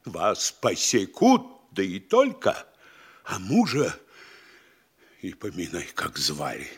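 An actor playing a blustering, anxious middle-aged provincial mayor in a classical comedy, grumbling in drawn-out, emphatic phrases. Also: male voice, sits low, wildly swooping pitch, husky, exaggerated voice. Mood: exasperated. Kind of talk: Russian classical stage comedy radio acting.

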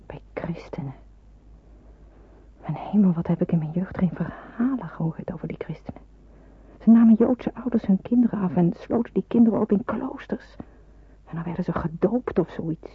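A woman's voice: fairly low pitch, slight background noise, close to an everyday voice. An actress in a Dutch radio drama playing an anxious young woman in hiding, musing and questioning hesitantly. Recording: narrow phone-like old radio recording.

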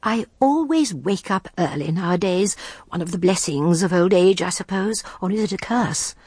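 Radio drama casting: genteel, watchful elderly lady, slightly low, breathy voice, dry close sound. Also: expressive, darkish tone, no echo. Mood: genteel, reflective. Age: older. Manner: prim, polite, measured. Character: genteel, observant, inquisitive old lady. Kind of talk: BBC radio drama acting and narration.

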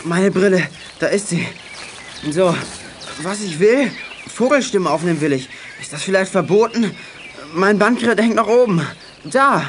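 A cheeky teenage boy detective from a children's radio play. The voice sits high and sounds clever and defiant, over clear outdoor ambience with birds. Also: animated, normal speed, slight background noise. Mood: indignant.

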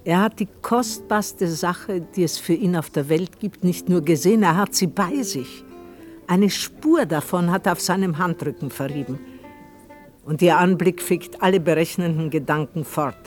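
A man acting as the storyteller of a radio play. His voice sits slightly high for a man, and he delivers scripted narration over faint music. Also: normal speed, close to an everyday voice. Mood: measured narration.

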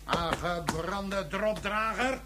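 Defiant prisoner, fairly high male voice, sarcastic, insolent, hot-headed, sci-fi radio play. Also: slow, sharp tone, slight background noise, full character voice. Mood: insolent, scornful. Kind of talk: science fiction radio drama acting.

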